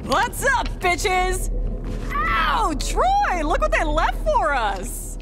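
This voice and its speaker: A woman playing a brash, gloating young villain who craves godhood in a video game cutscene, taunting with drawn-out words. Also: extremely high pitch, huge pitch swings, exaggerated voice. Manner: taunting, swaggering, drawn-out delivery. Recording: loud music behind voice.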